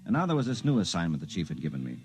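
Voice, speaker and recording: A mild, dutiful investigator in his forties from a 1950s radio drama. His low voice moves quickly and swings widely in pitch, conversational and a little apologetic. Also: big pitch swings, faint music behind. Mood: wry, reflective. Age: 40s. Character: mild, henpecked middle-aged male accountancy investigator, earnest and dutiful. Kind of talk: 1950s radio drama acting and first-person narration.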